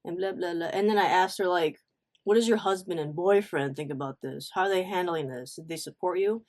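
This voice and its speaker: Expressive woman telling a personal story. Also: lowish voice, brisk. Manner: animated, emphatic, acts out reported dialogue; informal slangy talk with swearing. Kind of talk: casual confessional storytime chat.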